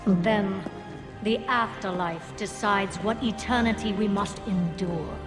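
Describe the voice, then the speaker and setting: A woman playing a brooding, defiant undead banshee ranger in a game cinematic, speaking with deliberate menace and breathy edges. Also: mid-range voice, lightly acted. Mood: brooding, persuasive. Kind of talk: dramatic game cinematic acting. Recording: cinematic music behind the voice.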